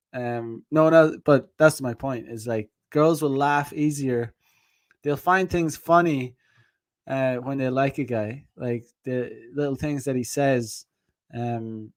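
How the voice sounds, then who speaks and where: A man's voice: mid-range voice, a little mellow, dry recording. Guy rambling on his stream, tons of fillers and laughs, mid voice, dry sound. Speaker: dating advice streamer. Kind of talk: casual rambling livestream talk.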